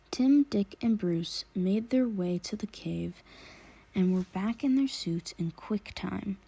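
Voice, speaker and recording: A woman narrates a story from a script. The voice sits at a middle pitch with a soft, mellow tone, read in audiobook style. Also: slightly rough. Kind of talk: scripted narration of fan fiction.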